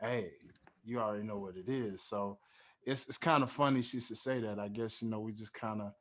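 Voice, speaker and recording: A man hosting a casual podcast, talking in a smooth, laid-back radio-style way and often adding "you know what I'm saying.". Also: fairly low pitch, a little fast. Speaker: podcast host. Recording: music playing underneath.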